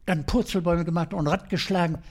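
An older man, a guest in an interview, telling his life story in a lively, colloquial way with many fillers and restarts. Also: high-pitched, fast. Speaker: guest adventurer.

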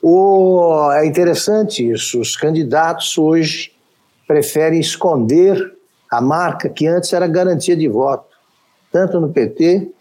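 A man's voice: a little high, mellow. A man with a Brazilian accent hosting a political talk show, giving opinionated, wry commentary. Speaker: show host.

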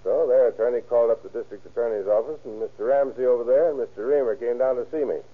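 An actor playing a plain-spoken, cautious boat captain in an old radio crime drama. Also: male voice, medium pitch, fast talker, close to an everyday voice. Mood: matter-of-fact. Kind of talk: 1930s-40s radio crime drama acting. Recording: muffled, scratchy old-time radio recording.

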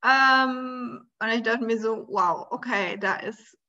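A woman hosting a spiritual podcast on her own, talking in a relaxed, searching way with many soft pauses and light laughs. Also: a little high, highs cut off. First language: German. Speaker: podcast host. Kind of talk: casual solo podcast monologue.

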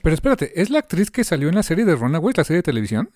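A podcast co-host chiming in with short, curious, lively reactions in casual chat. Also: medium pitch, very fast, dry recording.

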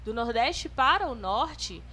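Bright-voiced woman explaining in easy chat. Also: on the high side, slow. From northern Brazil. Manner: relaxed, explanatory, chatty. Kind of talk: casual group chat.

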